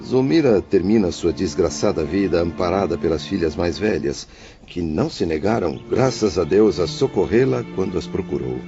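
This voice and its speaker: A man's voice: low-pitched, animated, normal speed. A man playing a remorseful, grieving lover in a heartfelt radio drama. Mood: sorrowful. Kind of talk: dramatised radio-play dialogue. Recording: dull lo-fi audio with music behind. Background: clear background music.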